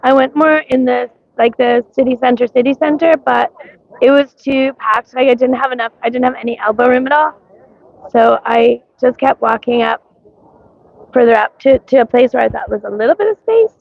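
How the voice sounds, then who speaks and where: Woman with a mixed-up accent, raspy from coughing, chatting loosely on a phone stream outside, bit of a higher voice, muffled audio. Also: normal speed. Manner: rambling, many ums, greets viewers by name. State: gravelly, scratchy from coughing. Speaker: livestreamer. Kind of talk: casual livestream chat. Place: outdoors at a street parade.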